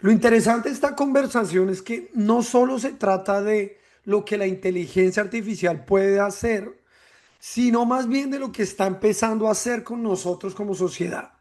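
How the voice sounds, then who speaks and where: A man from hosts a podcast in a high, light voice. He talks in a measured, reflective way, often ending a thought with a tag question. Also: a little mellow. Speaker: podcast host. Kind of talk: reflective podcast monologue and interview.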